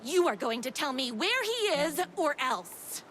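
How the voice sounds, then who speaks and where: Casting: overdramatic devoted fangirl heroine for a video game; high voice, animated, earnest, quick to indignation. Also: faint music behind, exaggerated voice. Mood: indignant. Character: overdramatic devoted female fan turned heroine, feisty and lovestruck. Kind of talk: hammy game acting with first-person story narration.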